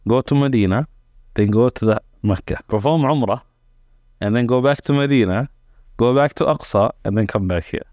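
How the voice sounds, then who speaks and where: A young man, an imam raised in Seattle, answering interview questions calmly and reciting Arabic phrases with ease. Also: mid-range voice, no room sound, studio quality. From Ethiopia, raised in Seattle. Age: young adult. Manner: calm, measured, reciting Arabic phrases fluently. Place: studio room with plants and shelves. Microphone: lapel mic.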